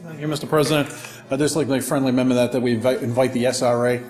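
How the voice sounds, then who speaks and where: A man's voice: mid-range voice, level tone, racing, a little mellow. A man city councilor politely proposing an amendment in a council meeting. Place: council chamber.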